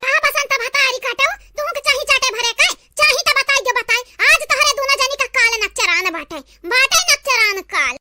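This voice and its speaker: A woman voicing squabbling, melodramatic village women in a homemade comedy cartoon, with an eastern Uttar Pradesh accent, scolding and exclaiming through a pitched-up effect. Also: brisk, few pauses, bright, forceful tone, exaggerated voice.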